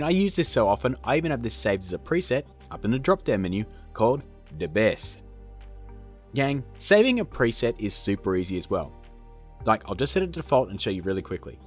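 Very animated man, casual tutorial host. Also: mid-range voice. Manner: upbeat, chatty, self-deprecating. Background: background music.

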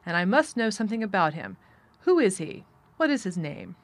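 A woman's voice: mid-range voice, sing-song, moderate pace. Woman reading as a nervous male country doctor. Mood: wary questioning. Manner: grave, anxious, formal.

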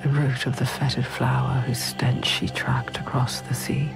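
Game narrator, brooding storyteller. Deep, hushed, measured poetic delivery over loud music. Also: female voice, little pitch movement, whispered, low-quality recording. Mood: solemn, foreboding. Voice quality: breathy, hushed. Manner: measured, ominous, poetic cadence. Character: solemn female storyteller spirit narrating a dark saga. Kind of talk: scripted dramatic game narration.

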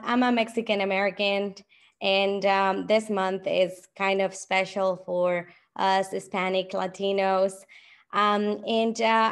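Woman presenting on a video call. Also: mid-range voice. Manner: hesitant, many fillers. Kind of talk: casual presentation.

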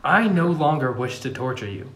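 A melodramatic, earnest king as an amateur reader acts him out, proclaiming to his people in a comedy table read. The pitch swings widely and theatrically, and the room adds noticeable echo. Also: big pitch swings, moderate pace, fairly bright tone. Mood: earnest, uplifting. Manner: theatrical, swooping declamation broken by amusement. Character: melodramatic young king turned cheerful, earnest ruler. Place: room at home around a wooden table.

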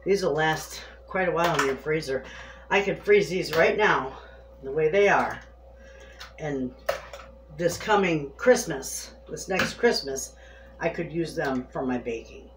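A woman's voice: low voice, unhurried. A woman chatting homily to her viewers as she preps food in her home kitchen. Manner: chatty, homey, talks straight to viewers. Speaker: homesteading cooking vlogger. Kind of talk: casual kitchen demonstration. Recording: echoey kitchen with clear background noise.

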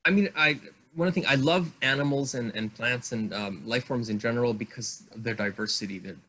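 Husky middle-aged guy on a video call, keeps restarting sentences, excited. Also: mid-range voice, low-quality recording. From Hungary. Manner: enthusiastic, halting with many fillers and restarts. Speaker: guest artist. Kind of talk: casual video call interview. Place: home room.

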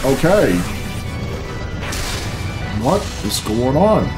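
A man's voice: mid-range voice, very animated, drawn-out words. A man in his thirties giving casual, profane and sardonic reaction commentary in a small room. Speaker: reaction video host. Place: small bedroom, indoors. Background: video game cutscene music.

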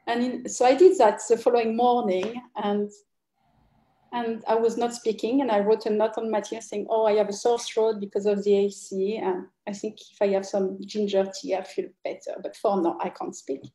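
Woman in an echoey room, mellow voice. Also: mid-range voice, little pitch movement, moderate pace. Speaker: participant in an online group conversation. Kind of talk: personal sharing, casual chat. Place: indoors on a group video call. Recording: roomy, echoey video call.

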